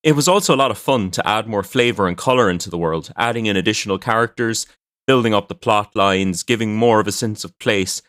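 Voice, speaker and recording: Low-voiced man narrating, dry close recording. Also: fairly bright tone, no echo. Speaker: podcast host and fiction writer. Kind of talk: scripted narration and podcast hosting. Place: indoors at a desk at home.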